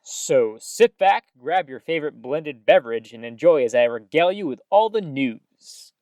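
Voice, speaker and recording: An actress as a folksy small-town radio host in an audio drama, a woman with a low voice that swings up and down. She delivers chatty, upbeat news patter full of mild old-fashioned exclamations, recorded very dry. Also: big pitch swings, unhurried, warm tone, dry recording, heavily acted. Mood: cheerful, welcoming.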